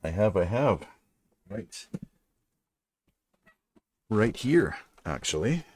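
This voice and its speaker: A middle-aged man with a deep voice, hosting a casual livestream chat and rambling through his thoughts with frequent restarts. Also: sing-song, extremely slow, a little mellow, dry recording. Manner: rambling, self-correcting. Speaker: livestream host.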